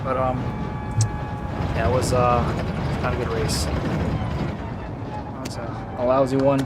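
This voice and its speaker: A man whose first language is Icelandic, chatting casually and calling his sim racing live stream, with a relaxed, rambling way of talking full of fillers. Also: medium pitch, a little slow, noisy background. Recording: loud game music behind. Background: music and car engine sounds.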